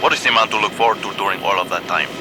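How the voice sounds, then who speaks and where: A man's voice: fairly low pitch, racing, lightly acted. A man playing a wheedling, menacing deposed Latin American military strongman in a satirical radio play, with formal phrasing. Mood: veiled menace. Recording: radio play with loud aircraft noise.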